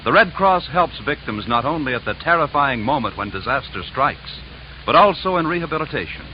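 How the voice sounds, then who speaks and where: A man's voice: fairly high pitch, lively intonation, brisk, a little hiss or hum. A man with an American accent reading scripted announcements in 1950s network radio style. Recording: dull vintage radio recording with music.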